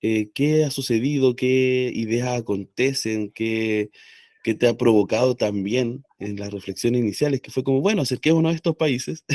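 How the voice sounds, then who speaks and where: A man's voice: medium pitch, darkish tone, no room sound, clean studio sound. Hesitant man hosting radio. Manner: hesitant, many "eh" fillers. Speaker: radio host. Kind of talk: radio interview questions.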